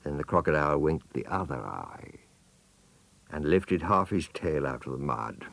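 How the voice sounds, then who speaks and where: A man with a low voice narrates a children's tale as an audiobook. His intonation rises and falls widely, relishing each phrase as he speaks straight to the listener. The sound is dry, without room echo. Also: big pitch swings, unhurried, gravelly, a bit bright. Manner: theatrical, savouring words, addressing the listener. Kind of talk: expressive children's storybook narration.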